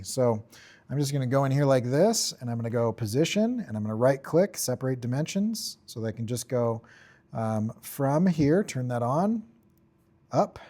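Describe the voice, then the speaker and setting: A man giving an informal software tutorial, thinking aloud in short, offhand fragments as he works. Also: mid-range voice. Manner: offhand, talks in short fragments while working. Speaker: tutorial instructor.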